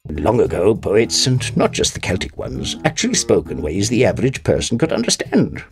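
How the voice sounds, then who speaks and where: An actor playing a dry, cynical literary commentator with a creaky voice in a mock-documentary interview. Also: male voice, fairly low pitch, sing-song, normal speed, faint music behind. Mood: sardonic.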